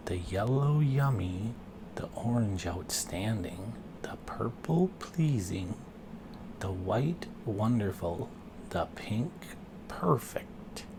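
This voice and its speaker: A man voicing an admiring male fair judge in a children's storybook, savoring drawn-out praise. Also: lowish voice, background noise, full character voice.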